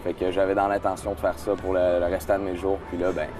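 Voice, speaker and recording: A man's voice: low-pitched, flat delivery, fast, over music. A young man with a Quebec French accent, a singer giving an interview outdoors at a festival, hesitant and full of fillers and restarts. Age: young adult. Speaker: singer guest. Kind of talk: casual interview.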